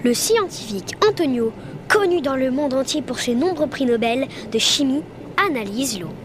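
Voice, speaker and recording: A woman's voice: high voice, expressive, moderate pace, background noise. A young girl presenting and narrating a scripted radio show. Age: child.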